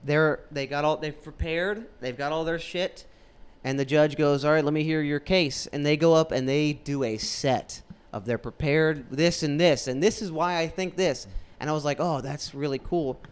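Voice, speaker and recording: A man's voice: on the high side, moderate pace, hardly any pauses, clear, resonant tone. A man in his late twenties co-hosting a podcast, chatting in a rambling, laid-back way. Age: 20s. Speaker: podcast co-host. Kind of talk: casual podcast chat.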